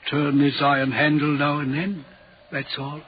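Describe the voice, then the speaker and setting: Guy playing a lonely spooked railway signalman, highish voice, grave and hesitant, dull old 1940s radio sound. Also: little pitch movement, a little slow, slight background noise, lightly acted. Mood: subdued, reserved. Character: lonely, troubled railway signalman, haunted and secretive. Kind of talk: 1940s radio drama acting.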